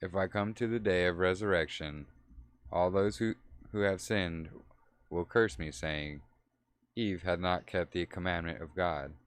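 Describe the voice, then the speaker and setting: Man reading as eve, full of guilt and grief, deep plain voice, old-timey words. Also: a little slow, clear, resonant tone, no room sound. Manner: lamenting, archaic speech. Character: grieving guilt-stricken woman Eve.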